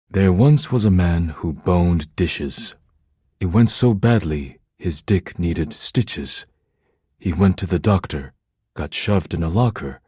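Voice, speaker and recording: A man playing a proud, thin-skinned poetic living dish in a video game, speaking in a formal, deliberate, grave manner. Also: sits low, mellow, husky, no room sound, clean studio sound, exaggerated voice. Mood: reluctant reciting. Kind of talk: video game character acting.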